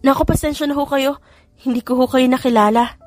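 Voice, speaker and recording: A woman's voice: high-pitched, steady tone, a little fast, no room sound, voice pushed up, close to an everyday voice. Woman reader as a feisty young woman, music behind. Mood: polite, confused. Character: young hospital woman, polite to elders, feisty with suitor. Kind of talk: audiobook character dialogue.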